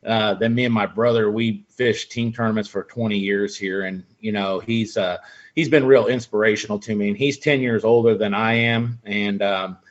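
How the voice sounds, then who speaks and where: A man's voice: fairly low pitch, steady tone, normal speed, dull audio. A man in his forties, a guest angler chatting casually and rambling with lots of fillers. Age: 40s. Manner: laid-back, rambling with fillers. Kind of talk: casual podcast chat.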